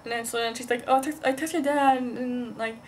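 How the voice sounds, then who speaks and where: Young girl vlogger, kinda high voice, rambly halting chat w lots of "like", bit of noise in the background. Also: fairly even intonation. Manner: halting, heavy use of "like", rambling. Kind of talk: casual rambling chat to camera. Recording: noticeable background noise, amateur vlog sound.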